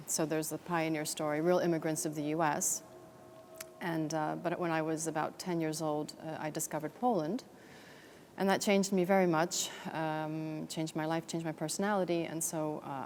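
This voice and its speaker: A woman's voice: low voice, darkish tone, slight background noise. A middle-aged woman raised in Texas, a guest on an interview show, talking reflectively with many fillers and restarts. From United States, raised in Texas. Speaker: guest, chamber of commerce director. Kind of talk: conversational interview.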